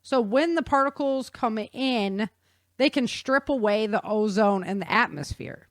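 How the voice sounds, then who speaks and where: A woman's voice: fairly high pitch, lively intonation, measured pace, dry recording. Casual explainer woman with a dry, close sound. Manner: chatty, informal, checks in with listener. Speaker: show host explaining a science topic.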